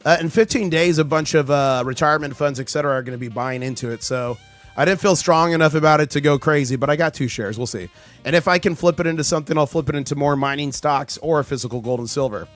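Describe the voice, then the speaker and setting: Male livestream host, chatty and excitable, voice just back after being lost, faint background music. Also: fairly high pitch, quick pace. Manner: chatty, reads chat aloud, excitable. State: recovering from lost voice. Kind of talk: casual live market commentary.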